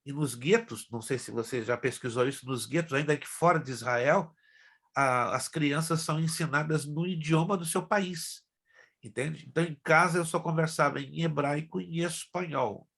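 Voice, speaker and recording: A man's voice: a little high, moderate pace, gravelly, a bit bright, breathy edge. A middle-aged man, a pastor from Brazil whose first languages are Hebrew and Spanish, teaching an online class in a chatty way that speaks straight to his students. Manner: chatty, addresses class directly.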